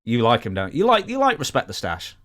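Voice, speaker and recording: A man's voice: medium pitch, wildly swooping pitch, fast, fairly bright tone. A British man co-hosting a podcast, chatting and reading plugs in a rambling way with dry, self-deprecating humour. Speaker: podcast co-host. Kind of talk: casual chat, reading plugs.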